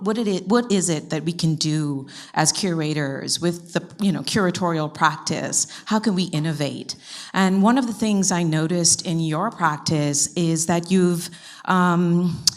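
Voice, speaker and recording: A woman moderating a panel conversation, asking a long question and searching for her words as she goes. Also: lowish voice.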